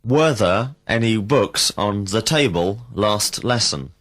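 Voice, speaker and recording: Male language teacher, low voice, very slow and deliberate drill prompts. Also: animated, fairly bright tone. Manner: slow, carefully enunciated prompts. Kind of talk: scripted drill questions for English learners.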